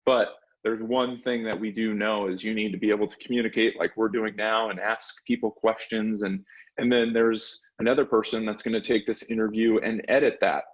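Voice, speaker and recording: A man giving conversational, explanatory answers as an interviewee, pitching an education service and repeating words as he phrases things. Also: steady tone, normal speed, lo-fi sound. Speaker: interviewee, education software representative.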